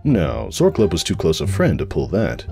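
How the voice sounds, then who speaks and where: A man narrates a comic story with a wry, theatrical delivery. His pitch is on the low side and moves very widely, with music underneath. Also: huge pitch swings, normal speed, vocal fry. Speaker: narrator, fanfiction reader. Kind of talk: dramatic scripted comic narration.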